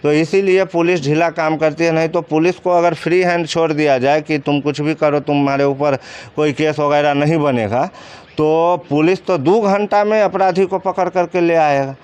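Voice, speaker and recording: A man with a clear, smooth voice pitched a little high speaks in a Bihari accent. He explains things informally, as an interviewed commentator, posing questions and then answering them himself. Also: fairly even intonation, normal speed, darkish tone. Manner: colloquial, explanatory, poses and answers own questions. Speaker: commentator interviewed about crime cases.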